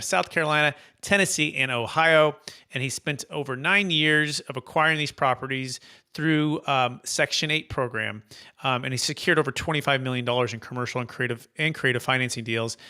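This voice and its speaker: Male podcast host, quick and upbeat, crisp bright tone, polished read. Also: mid-range voice. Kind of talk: scripted intro then interview questions.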